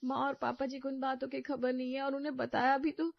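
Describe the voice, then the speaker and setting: A woman voicing a patient young wife who is stuck in a hard marriage, in an animated storybook. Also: high-pitched, brisk, gravelly, no echo, pitched above the performer's own voice. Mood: resigned. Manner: sorrowful, resigned. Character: patient, resigned young wife, trapped and sad. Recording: dull lo-fi dry recording.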